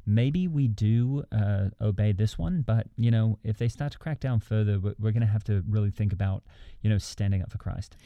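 A man's voice: fairly low pitch, moderate pace, no echo, highs cut off, close to an everyday voice. A man playing a mild, self-satisfied evangelical church podcast host, upbeat and earnestly rambling. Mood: earnest concern. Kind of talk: improvised satirical church podcast banter.

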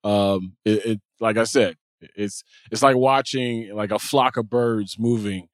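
A middle-aged American man hosting a talk show, chatting casually in a slangy, jokey, animated storytelling way. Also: lowish voice, unhurried, no echo, studio quality. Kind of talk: casual storytelling chat with a co-host.

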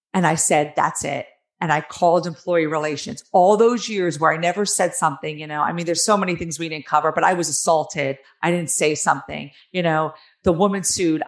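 A middle-aged woman with a New Jersey accent and a raspy, nasal voice, earnestly telling her own story as an interview guest. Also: on the low side. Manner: earnest, emphatic, with many fillers. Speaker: guest author.

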